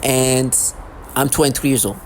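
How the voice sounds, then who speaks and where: A man's voice: medium pitch, normal speed, slight background noise. A man in his thirties talking in a rambling, repetitive, agitated monologue as a vlogger. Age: 30s. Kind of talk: unscripted monologue to camera.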